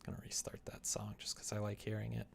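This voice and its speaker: A man's voice: lowish voice, completely flat delivery, fast talker, vocal fry, whispered. A man livestreaming casually as he works, chatting and muttering to himself while he concentrates. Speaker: live streamer. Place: indoors at a computer.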